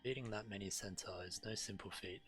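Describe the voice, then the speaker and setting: A man's voice: lowish voice, fairly quick, a bit bright, rough recording. A man streaming a video game, reading quest text aloud and commenting. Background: game music.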